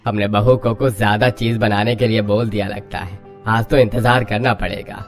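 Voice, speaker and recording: A man voices an older father-in-law in a cartoon moral story. His voice sits on the low side, speaking as the family elder. Soft music plays behind him. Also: steady tone, normal speed, lightly acted. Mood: mildly concerned. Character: older father-in-law, fond of home food. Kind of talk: scripted Hindi moral-story cartoon acting.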